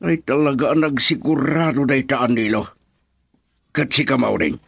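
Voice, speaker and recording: A man's voice: medium pitch, moderate pace, gravelly, slightly rough. An actor with an Ilocano accent playing a stern, proud middle-aged father in a radio drama. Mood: measured, serious.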